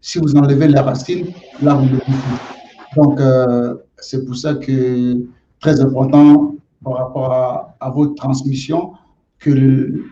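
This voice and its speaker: Male guest from Gabon, deliberate and slow, deep warm tone, roomy muffled recording. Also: level tone, a little hiss or hum. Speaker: guest, martial arts champion. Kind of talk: interview answers. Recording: roomy, dull lo-fi sound.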